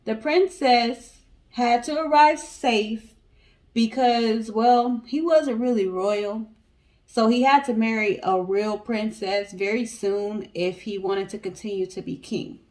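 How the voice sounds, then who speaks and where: A woman's voice: a little high, slow pace, darkish tone, rough recording. A woman co-hosting a story show, reading a tale aloud in a casual way. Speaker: co-host of a story guessing show.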